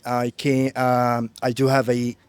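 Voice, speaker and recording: A man's voice: medium pitch, monotone, slow, smooth, no room sound. A Palestinian man introducing himself on a panel, polite and formal with some fillers.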